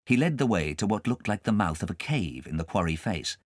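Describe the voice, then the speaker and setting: A man narrating a storybook as a scripted audiobook, with dramatic, expressive storytelling. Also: deep voice, fairly quick, dry recording, clean studio sound.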